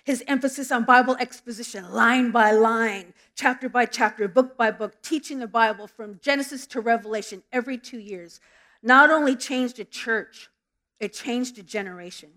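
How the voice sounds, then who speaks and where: Woman, middle-aged, from Southern California; pastor-style sermon voice, earnest storyteller, fairly high pitch. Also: moderate pace, fairly bright tone. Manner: earnest, storytelling, with small restarts. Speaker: preacher. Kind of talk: sermon with prayer. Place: church sanctuary.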